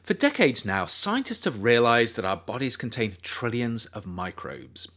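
A man reading his own nonfiction book aloud as audiobook narration, measured and thoughtful, lifting his voice on key phrases. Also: medium pitch, sing-song, bright, forceful tone.

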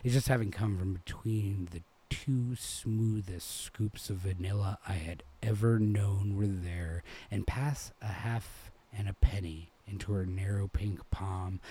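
A man from New England reading a literary short story aloud as an expressive narrator. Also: low-pitched, a little hiss or hum. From New England, United States. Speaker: reader.

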